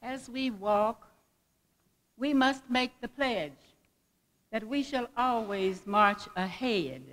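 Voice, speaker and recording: A woman giving a dramatic speech reading, delivered deliberately phrase by phrase. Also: a little high, a bit bright. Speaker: guest reader at a commemorative event. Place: large indoor hall at a college event. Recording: reverberant hall, long echo.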